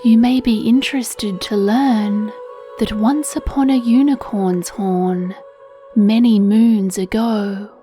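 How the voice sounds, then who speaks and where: A woman reads a children's bedtime meditation at an extremely slow, unhurried pace. Her voice is soft, mellow and hushed, with a soothing, breathy gentleness. Quiet music plays behind her. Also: mid-range voice. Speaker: meditation narrator. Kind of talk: children's sleep meditation storytelling, scripted narration.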